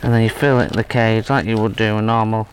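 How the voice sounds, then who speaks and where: A man in his thirties giving a relaxed, hesitant fishing tutorial outdoors by a lake, with lots of fillers and restarts. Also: on the low side, a little fast, rough recording. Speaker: angling instructor. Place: outdoors at a lakeside fishing spot. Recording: faint outdoor background noise. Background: faint outdoor ambience.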